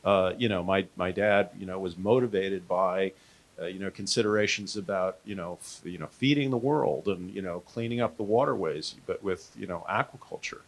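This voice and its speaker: A man speaking off the cuff on a discussion panel, haltingly and with frequent filler phrases. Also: deep voice. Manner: halting, frequent "you know". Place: live panel event before an audience.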